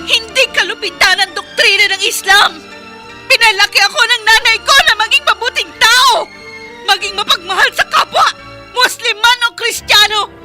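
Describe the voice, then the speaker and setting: A principled young wife in a radio play. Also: female voice, very high voice, normal speed, over loud music. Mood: impassioned. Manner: impassioned, pleading. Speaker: radio drama actress. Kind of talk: radio drama acting.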